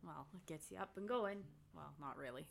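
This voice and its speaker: A woman's voice: sits low, a little fast, vocal fry. Giggly rambling woman podcast host. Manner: giggly, rambling, trails off into side stories. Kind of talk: casual chat. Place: home office.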